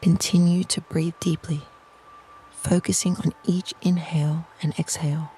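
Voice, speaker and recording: A meditation narrator speaking slowly and softly in a soothing, near-whispered delivery, reading a scripted guided visualization. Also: mid-range voice, flat delivery, slight background noise. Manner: slow, soft, soothing, breathy near-whisper phrasing.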